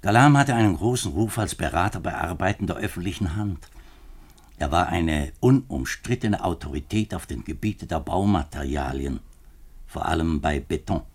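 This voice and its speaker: Deep, husky, troubled government minister. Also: male voice, lively intonation, moderate pace, heavily acted. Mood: grave, explanatory. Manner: hesitant, confiding, formal. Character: troubled male French government minister, reluctant politician, earnest. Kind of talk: 1950s German radio drama acting.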